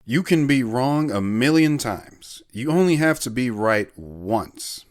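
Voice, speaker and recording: A man's voice: mid-range voice, animated, slow pace, no room sound, clean studio sound. A man playing an earnest war veteran who recounts his life story in a reflective, sentimental way in an audio drama.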